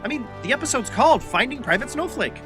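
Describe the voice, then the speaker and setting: A man's voice: very high-pitched, big pitch swings, fast talker, over music. A man playing a naive, sentimental alien sidekick in a comic audio drama, with a gushing, earnest manner. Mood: chatty, excited.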